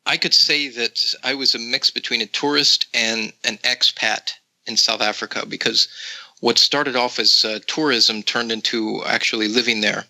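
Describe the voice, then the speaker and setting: A man from the Netherlands speaks as a guest on a podcast. His voice is very bright and forceful and sits in a middle pitch. He recounts his stories in a relaxed, casual way, with frequent pauses. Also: rough recording.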